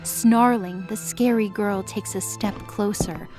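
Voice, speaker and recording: A woman's voice: mid-range voice, steady tone, takes it slowly, dark tone. A woman narrator reading a scripted dramatic story. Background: music bed.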